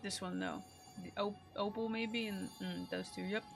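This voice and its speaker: A woman streamer giving casual, slangy, excitable commentary on a game playthrough, swearing freely. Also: mid-range voice, normal speed, vocal fry, over music.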